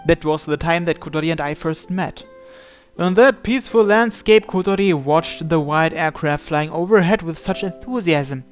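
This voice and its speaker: A man's voice: a little high, moderate pace. A man reading a visual novel aloud as story narration. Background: game music.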